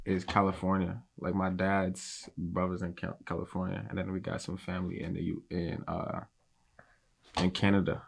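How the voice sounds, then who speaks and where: A man's voice: low voice, monotone, normal speed, vocal fry, slightly rough. A man in his twenties, a podcast guest, chatting in an easygoing, slangy way. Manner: easygoing, drawls slangy phrases. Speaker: guest, food reviewer. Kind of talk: casual chat. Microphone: podcast mic.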